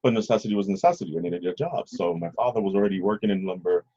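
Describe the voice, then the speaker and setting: A middle-aged man telling stories casually as an interview guest, husky, rambling and often checking in with the listener. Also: deep voice, racing, dark tone. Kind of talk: casual conversational storytelling. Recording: slightly dull, lo-fi audio.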